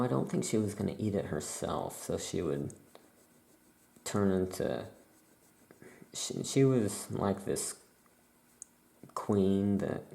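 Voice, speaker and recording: A soft-spoken ASMR host playing a witch shopkeeper, casually improvising and thinking aloud in an unhurried, rambling way. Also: low voice, gravelly.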